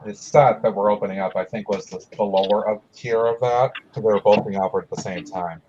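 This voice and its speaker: A man hosting a casual livestream from home, friendly and quick to laugh, greeting viewers by name. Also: on the low side, fairly even intonation, a little mellow, slight background noise. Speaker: livestream host. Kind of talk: casual chat. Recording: dull lo-fi stream audio.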